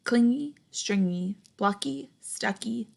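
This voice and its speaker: A young adult woman reading a children's picture book aloud expressively, pausing to put questions and comments to young listeners. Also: fairly high pitch, creaky, a little mellow. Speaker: storybook reader, teacher.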